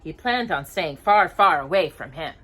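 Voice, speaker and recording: A woman reading a children's storybook aloud in an expressive, animated storyteller's manner. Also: fairly low pitch, moderate pace, husky. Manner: animated, asks listeners questions.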